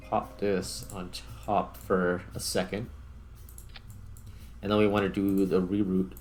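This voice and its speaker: A man giving casual let's-play commentary as a gaming video host, thinking aloud in an informal way. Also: on the low side.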